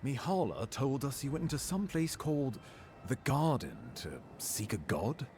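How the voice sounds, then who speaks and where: A mid-pitched male voice from an actor swoops dramatically as an excitable ally in a game cutscene. Faint ambient noise lies behind him. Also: normal speed, lightly acted. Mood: astonished. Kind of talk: video game cutscene dialogue.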